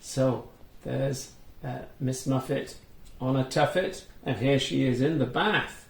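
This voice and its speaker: A man reading a storybook aloud for young children, simply and with gentle guidance to the listener. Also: medium pitch, slow pace, vocal fry, echoey room.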